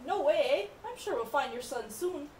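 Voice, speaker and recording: A woman's voice: high-pitched, expressive, moderate pace, darkish tone. A young-sounding woman voicing scheming, bumbling comic men in an amateur puppet show, with a hesitant, exaggerated delivery. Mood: agreeable, scheming. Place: indoor room with puppet stage. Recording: echoey room, distant mic, faint noise.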